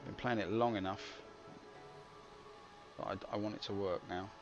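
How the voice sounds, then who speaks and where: A man's voice: lowish voice, steady tone, normal speed, muffled recording. A man streaming live gameplay, grumbling wryly and poking fun at himself as he plays. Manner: grumbling, wry and self-mocking. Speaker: game streamer. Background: game music.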